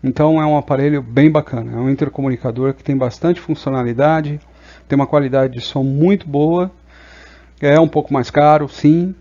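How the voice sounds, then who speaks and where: A man's voice: on the high side, steady tone, moderate pace, dark tone, muffled recording. A Brazilian man presenting a casual product review in an explanatory way, often checking in with short tag questions.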